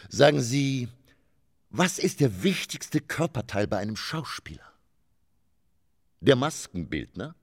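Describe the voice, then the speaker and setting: An actor in a radio drama playing a weary old theatre man facing his end, with a halting, theatrical delivery. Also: medium pitch, big pitch swings, vocal fry, exaggerated voice. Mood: insistent.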